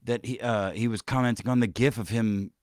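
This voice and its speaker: A man's voice: medium pitch, steady tone, a little fast, darkish tone, no room sound, studio quality. A man hosting a podcast, rambling and swearing through casual commentary, with many restarts and trailing-off sentences. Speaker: podcast host.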